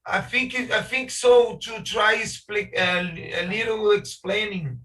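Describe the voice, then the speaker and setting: A man with a Brazilian Portuguese accent works through slow, broken English, often stopping to hunt for the right word. His voice is bright and slightly high. He sounds a bit distant from his video-call mic. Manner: searching for English words, many hesitations and restarts. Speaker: architect guest speaker. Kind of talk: halting webinar talk in a second language.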